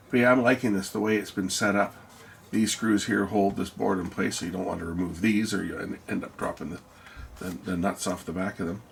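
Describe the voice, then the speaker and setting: A man with a lowish, gravelly voice talks casually through a piece of electronics, as if hosting a hands-on video. He muses aloud and puts questions to himself. There is faint noise behind him. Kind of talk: casual teardown commentary.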